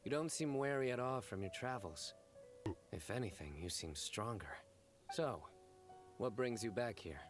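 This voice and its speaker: A man playing a composed, serious young investigator in a video game, measured and formal with dry humour. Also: medium pitch, lively intonation, natural voice. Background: game music.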